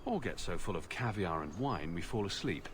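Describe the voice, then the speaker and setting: A man's voice: sits low, big pitch swings, moderate pace, a little hiss or hum. An actor playing a sassy, witty adventurer man with a vain, flippant, theatrical delivery for a video game. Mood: dry, wry. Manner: theatrical, drily sarcastic.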